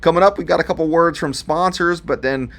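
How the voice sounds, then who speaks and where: A man's voice: a little high, brisk, gravelly, a bit bright. A man presenting a radio show in a folksy, chatty showman's manner, addressing listeners directly with dramatic repeats.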